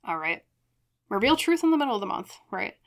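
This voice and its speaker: A woman's voice: medium pitch, big pitch swings, brisk. A woman hosting a tarot reading in a casual, conversational way, talking reflectively and ramblingly straight to her viewers. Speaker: tarot reader and channel host.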